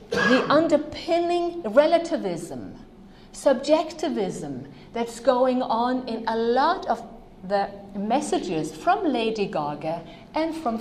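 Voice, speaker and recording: A woman's voice: a little high, darkish tone, reverberant, a little hiss or hum. A woman from Norway, a native Norwegian speaker, giving an earnest, emphatic conference talk on ministry to children.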